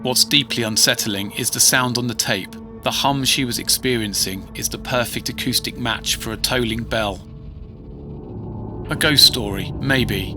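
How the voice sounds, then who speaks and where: Grave male narrator over music. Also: medium pitch. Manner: measured, grave. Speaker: archive narrator. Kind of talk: scripted narration.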